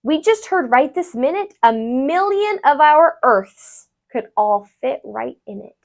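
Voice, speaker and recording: A woman tutor reading a rhyming children's book aloud, expressively and deliberately, with sing-song phrasing. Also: high voice, very animated, vocal fry.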